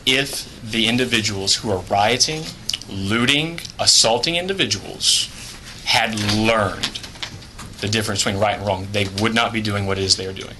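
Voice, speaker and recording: A man's voice: lowish voice, moderate pace, background noise. A man from Houston giving formal, polite testimony before a committee and defending his point under questioning. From Houston, Texas. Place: legislative hearing room.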